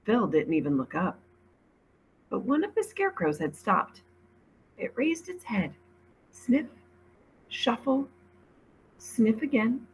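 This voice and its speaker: A woman, a teacher reading a storybook aloud with expressive narration. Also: on the high side, slow, pauses often, a little hiss or hum, rough recording.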